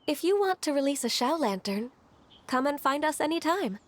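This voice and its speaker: A woman voicing a modest, gentle half-human immortal in a video game, soft-spoken, earnest and polite. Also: high voice, expressive, normal speed, slight background noise. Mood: friendly.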